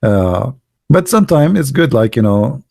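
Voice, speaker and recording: Male streamer, Arabic native, mellow creaky voice, animated casual storyteller, non-native grammar. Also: medium pitch, normal speed, dry recording, studio quality. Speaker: livestream host. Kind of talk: casual anecdotes and chat with live viewers.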